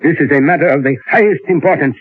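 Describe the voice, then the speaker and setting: Through a tinny, narrow old radio sound comes a learned male scientist. His voice is rather high and somewhat husky. He speaks formally and deliberately, with great earnestness, as in a vintage radio play. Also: soft background music. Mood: urgent. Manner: formal, deliberate, precise speech. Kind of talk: old-time radio drama acting. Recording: tinny, band-limited old radio recording.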